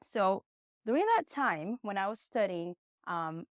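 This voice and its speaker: A young woman, a Portuguese speaker, giving a conversational online English lesson with encouraging, expressive emphasis. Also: medium pitch, measured pace, no echo, studio quality. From Brazil. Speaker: English teacher.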